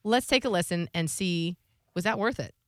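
A woman co-hosting a morning radio show, talking in an animated, conversational way with lively banter. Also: fairly low pitch, normal speed, dry recording, clean studio sound.

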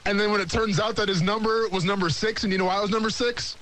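A man's voice: high voice, steady tone, fast talker, noisy background. A man hosting a sports radio talk show, rambling and joking his way through draft picks. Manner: rambling, joking, repeats himself.

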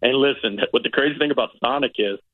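A man's voice: medium pitch, fast, no room sound, phone-quality sound. A man giving a chatty, opinionated movie review as a guest critic on a casual radio show.

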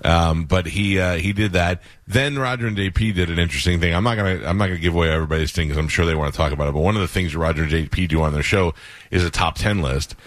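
A man's voice: low-pitched, fast, dry recording. A man hosting a radio show, telling stories in a rambling, dry way with many fillers. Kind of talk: casual radio chat.

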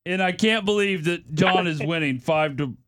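A man's voice: fairly high pitch, normal speed, a bit bright, no room sound, studio quality. A man co-hosting a radio show, reacting in casual comedic banter.

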